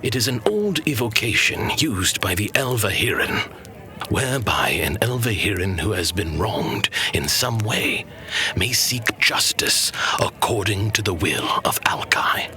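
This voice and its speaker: An actor playing a wise, kindly old archivist in a fantasy audio drama, telling tales to his apprentice in a formal, unhurried way. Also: on the low side, very animated, sharp tone, faint music behind, full character voice. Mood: solemn, instructive. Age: older. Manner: formal, unhurried, teasing storyteller.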